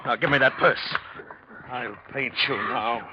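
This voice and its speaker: An actor playing a tormented, volatile painter in a 1940s radio crime drama, speaking in a tense, clipped, intense way. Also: male voice, mid-range voice, fairly even intonation, unhurried, close to an everyday voice. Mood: savage fury. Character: tormented, volatile painter trapped by blackmailing lover. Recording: dull vintage radio recording, audible noise.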